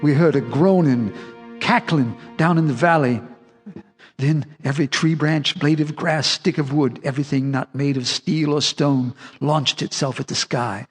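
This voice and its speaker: Haunted old farmer, pitched high. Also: male voice, measured pace, husky, soft background music. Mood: grim, haunted. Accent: American. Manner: folksy, intense storytelling with theatrical swells. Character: old haunted backwoods farmer telling of horrors, bitter, unhinged. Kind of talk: dramatic audio drama narration.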